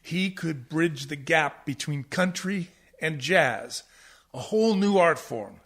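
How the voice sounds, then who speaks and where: Man acting as desperate ageing musician father, radio comedy; high, swooping voice, melodramatic and flustered. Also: animated, slow pace, a bit bright, heavily acted. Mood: weary, brooding. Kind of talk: comic radio play acting.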